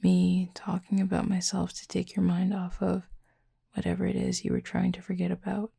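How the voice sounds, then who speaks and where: Woman voicing a husky, flirty werewolf, deeply gravelly. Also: completely flat delivery, warm tone, full character voice. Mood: flirty teasing. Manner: dry, teasing, unhurried, wordy. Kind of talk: intimate audio roleplay, late-night chat.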